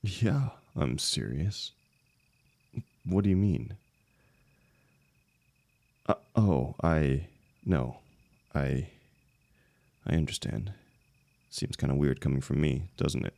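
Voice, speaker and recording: A man acting a lovelorn young heartbreaker in an intimate, emotional audio roleplay, speaking haltingly and rawly to a longtime friend. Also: rumbling low, lively intonation, creaky, darkish tone, dry recording, exaggerated voice. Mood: hesitant, ashamed. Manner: halting, stammering, swearing, emotionally raw.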